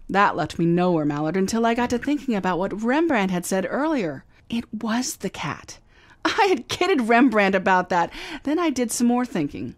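A woman's voice: mid-range voice, no echo, lightly acted. An actress playing a confident, wisecracking female private eye in a radio drama, breezy in tone. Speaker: actor. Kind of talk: old-time radio drama acting.

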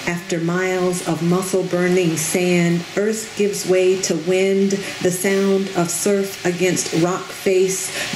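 A middle-aged woman reads poetry aloud at a podium mic, unhurried and deliberate, her voice sitting a little low. The sound is roomy under an outdoor tent, with a steady hiss of background noise behind her. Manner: slow, measured, deliberate delivery. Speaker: poet reading her own work. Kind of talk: poetry reading. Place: outdoor tent stage at a reading. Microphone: podium microphone on a stand.

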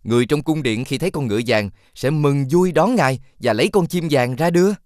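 A man plays a clever fox advisor in an animated fairy tale. He coaxes and explains patiently, like a loyal helper. The recording is dry and close. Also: fairly high pitch, brisk, no room sound, close to an everyday voice. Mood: scheming, reassuring.

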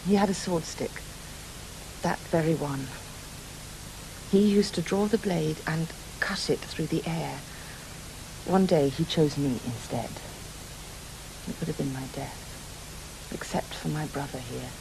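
A woman's voice: low voice, gravelly, a little mellow, heavily acted, poor audio. An actress playing a haunted, reclusive lady medium in a radio drama, grave and intense. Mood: grave, confessional. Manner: grave, dramatic, deliberate phrasing. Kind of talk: studio radio drama acting. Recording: hissy background noise.

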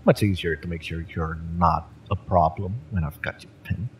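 A man playing a cocky, exasperated mercenary in a romance audio roleplay, sardonic and menacing in a deliberate, taunting way. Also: sits low, slight background noise, close to an everyday voice. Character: fiery male mercenary, cocky, exasperated, menacing yet familiar.

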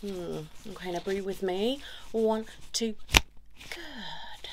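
A woman doing an ASMR role-play as a chiropractor, coaxing a patient in soft talk and whispers, with short repeated reassurances. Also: mid-range voice, expressive, slow. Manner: soothing, coaxing, talks directly to a patient. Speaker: ASMR performer playing a chiropractor. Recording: lo-fi, noisy, with clicking sounds.

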